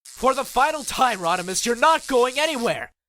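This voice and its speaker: An actor playing an exasperated, pleading robot leader who speaks with urgency and emphasis. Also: male voice, very high-pitched, lively intonation, normal speed, pushed and bright, no room sound, exaggerated voice.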